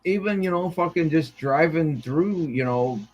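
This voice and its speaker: A man's voice: fairly high pitch, unhurried, darkish tone, highs cut off. A man from Iowa in casual podcast chat, rambling and swearing, often starting with "I mean". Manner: profane, rambling, repeats "I mean".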